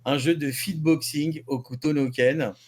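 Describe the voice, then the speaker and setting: A man's voice: fairly high pitch, fairly even intonation, measured pace, slight background noise. A man giving news as a columnist in a rambling, slangy, jokey way. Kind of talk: casual chat.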